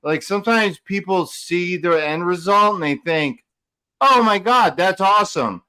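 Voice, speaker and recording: A man's voice: sits high, a little slow, pushed and bright, clean tone. A man co-hosting a podcast in casual conversation, emphatic and repeating phrases for stress. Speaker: podcast co-host.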